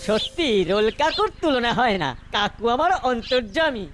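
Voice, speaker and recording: A woman's voice: on the high side, animated, normal speed, soft background music, natural voice. A woman voicing a crafty, food-loving boy in a children's cartoon, dramatic and playful with rhymes. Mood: self-satisfied. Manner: dramatic, rhyming wordplay.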